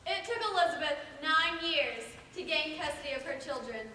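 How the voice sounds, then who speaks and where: A woman's voice: high-pitched, lively intonation, moderate pace, bright, forceful tone. A woman performing as the solemn epilogue narrator of a historical stage play. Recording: echoey hall, distant mic, audience noise.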